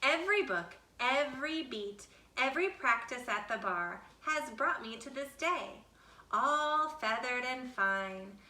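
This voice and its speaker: A woman reading a children's picture book aloud expressively, with deliberate, dramatic phrasing. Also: a little high, sharp tone. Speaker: storybook reader. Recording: roomy echo, distant from the mic.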